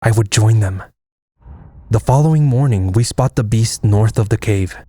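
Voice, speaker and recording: A man playing a stoic, fatalistic Navajo army air force lieutenant in an audio comic, solemn and measured. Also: lowish voice, dark tone, breathy edge, dry recording, studio quality. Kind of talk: dramatic first-person narration and dialogue in an audio comic.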